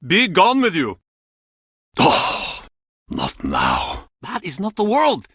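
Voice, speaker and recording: A man's voice: high-pitched, huge pitch swings, bright, forceful tone, slightly rough, dry recording, clean studio sound, exaggerated voice. An actor playing an embittered, grieving old fisherman in a video game, blunt and theatrical in manner. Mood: defensive, gruff. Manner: theatrical, blunt, halting old-salt turns of phrase. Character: gruff, embittered, grieving old Icelandic fisherman and pub regular. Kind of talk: video game character acting.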